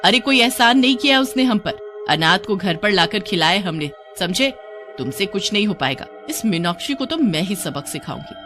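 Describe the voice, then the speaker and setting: A woman voicing a greedy, scolding middle-aged wife in a story, with a sharp, nagging, emphatic manner. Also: medium pitch, expressive, normal speed, soft background music, natural voice. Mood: scornful, angry. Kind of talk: dramatised Hindi storytelling.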